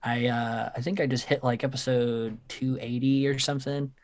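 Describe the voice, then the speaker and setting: A man's voice: medium pitch, steady tone, moderate pace, dry recording. Easygoing young male co-host, dry sound. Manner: easygoing and a little hesitant. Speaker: podcast co-host. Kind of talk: casual podcast chat.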